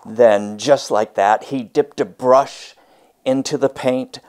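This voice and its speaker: A man teaching and reading a storybook aloud with deliberate, emphatic, expressive phrasing. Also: medium pitch.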